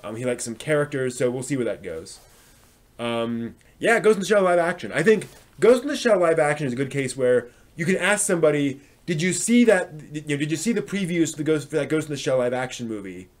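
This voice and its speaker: A man's voice: a little high, animated, fairly quick. A man hosting a live stream, talking casually and answering viewers' comments in a hesitant, thinking-aloud manner.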